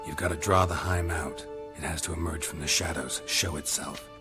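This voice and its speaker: Weathered monster slayer for a game: very deep, deadpan, matter-of-fact, loud score underneath. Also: male voice, moderate pace. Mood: grave, explanatory. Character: stoic, weathered male monster hunter, methodical and world-weary. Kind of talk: video game cutscene acting. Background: loud music.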